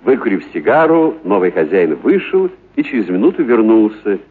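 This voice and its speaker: A man reading literary prose for radio as an actor, with dramatic, deliberate pauses and stressed words. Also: on the low side, sing-song, slightly rough. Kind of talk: expressive literary narration for radio. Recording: narrow, phone-like radio recording.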